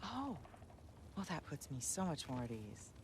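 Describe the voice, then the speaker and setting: A woman's voice: sits low, very animated, unhurried, a little hiss or hum, full character voice. An actress playing a proud, guarded queen and protective mother who speaks in a cool, clipped, sarcastic way.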